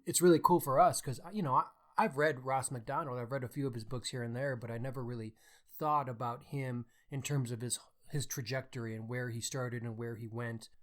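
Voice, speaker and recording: A man talks as one of two podcast hosts, in casual, conversational book chat. His voice sits at an average pitch for a man. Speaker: podcast co-host.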